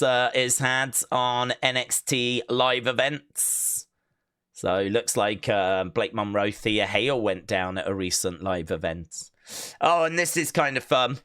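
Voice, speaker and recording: Male host, casual sports-entertainment commentary, forceful bright tone, very dry close sound, chatty step-by-step explainer. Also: mid-range voice, clear, resonant tone, no echo. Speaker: host of a wrestling news show. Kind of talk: casual news commentary and clip reactions.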